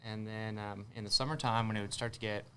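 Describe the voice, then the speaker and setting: A man in his twenties with an American accent and a fairly low voice. He answers interview questions in a casual, hesitant way. There is noticeable noise behind him. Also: fairly even intonation, fast, fairly bright tone. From south Texas, United States. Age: 20s. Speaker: guest, spearfisher.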